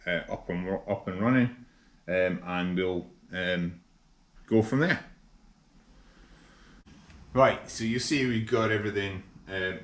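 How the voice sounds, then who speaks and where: Scottish guy, low voice, talking thru a fitting job, lots of ums, echoey shop with a bit of hum. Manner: relaxed, hesitant with frequent ums. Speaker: workshop vlogger. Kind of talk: casual how-to demonstration. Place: large indoor workshop. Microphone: camera mic from overhead. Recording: echoey workshop with faint hum.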